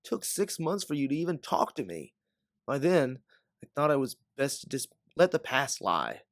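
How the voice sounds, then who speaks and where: A man playing an older, protective bounty hunter mentor in an audio drama, speaking in an earnest, measured way. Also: medium pitch, very animated, no echo, studio quality, pitched above the performer's own voice. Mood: regretful. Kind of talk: dramatic audio fiction acting.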